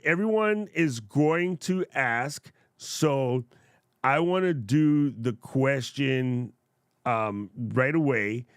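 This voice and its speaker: A man hosting a casual livestream, demonstrating gear in a playful, jokey way and chatting with viewers in a naturally loud voice, close on a studio mic. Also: medium pitch, dry recording. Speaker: tech reviewer livestream host. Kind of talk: casual livestream product demo. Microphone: studio broadcast mic up close.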